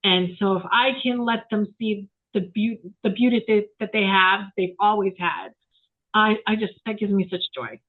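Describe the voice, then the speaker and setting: A woman's voice: medium pitch, normal speed. A woman around sixty, a photographer guest, talking in a rambling, reflective way with many fillers over a remote call. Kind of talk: casual interview chat. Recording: tinny phone-like line.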